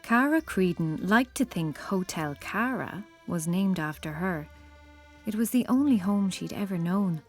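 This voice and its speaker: A woman's voice: mid-range voice, expressive, measured pace, soft background music. A woman narrator reading a scripted children's story.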